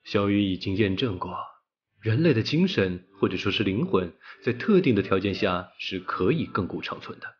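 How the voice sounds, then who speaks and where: A man's voice: lowish voice, animated, normal speed, dull audio. A man narrating a scripted audiobook as a storyteller.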